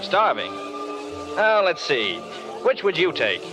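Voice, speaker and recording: A man's voice: on the high side, wildly swooping pitch, slow, over music, heavily acted. A man playing a charming, flippant, hard-drinking Hollywood film star in a golden-age radio drama, with a wry, bantering way of talking. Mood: breezy, flirtatious. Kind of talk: 1930s-40s radio drama acting. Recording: noisy, crackling old radio broadcast.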